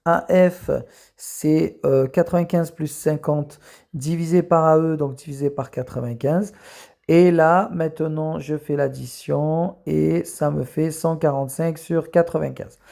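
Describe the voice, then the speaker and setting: An adult teacher correcting a math exercise step by step for students, with frequent fillers, and slowing down while writing. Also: mid-range voice, fairly even intonation, vocal fry, dark tone. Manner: explains step by step with many fillers; slows down to write while talking. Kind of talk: recorded lesson correction. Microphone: camera filming a written page.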